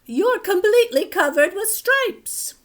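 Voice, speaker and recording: A woman voicing a fussing mother who is alarmed by her daughter's strange illness, in a children's storybook read-aloud. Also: a little slow. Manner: shrill and excited.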